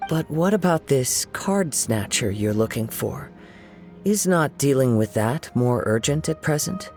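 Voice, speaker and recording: A gentle, poetic young wanderer in an animated game. His voice is soft and medium in pitch, with calm, formal, measured phrasing. Music sits behind him. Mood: polite, thoughtful. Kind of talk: animated video game voice acting.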